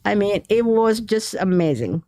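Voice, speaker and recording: Middle-aged woman guest from Ethiopia, gravelly edge, dry close sound, halting storyteller. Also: mid-range voice, sing-song, mellow, no echo. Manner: halting, searching for words. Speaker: author guest. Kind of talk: interview storytelling.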